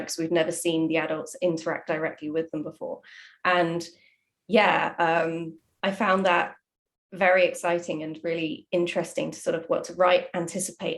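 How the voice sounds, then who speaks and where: A woman speaking casually in a panel chat as a writer discussing the creative process. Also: on the low side. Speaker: audio drama writer.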